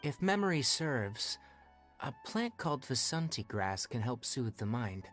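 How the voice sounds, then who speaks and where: Tighnari, a lively, sensible young forest ranger. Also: male voice, medium pitch, measured pace, faint music behind. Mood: serious, explaining. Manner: matter-of-fact, gently scolding. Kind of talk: anime game voice acting.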